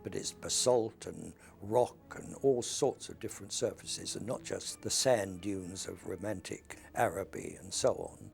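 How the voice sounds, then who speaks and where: A man's voice: low-pitched, a little slow, hardly any pauses, darkish tone, soft background music. A man speaking matter-of-factly in a documentary interview. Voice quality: very gravelly.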